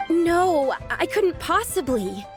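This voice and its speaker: A woman's voice: very high-pitched, very animated, takes it slowly, over music, full character voice. A woman voicing a timid, polite young lady in a video game, with an earnest, apologetic and flustered manner. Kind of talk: animated video game voice acting.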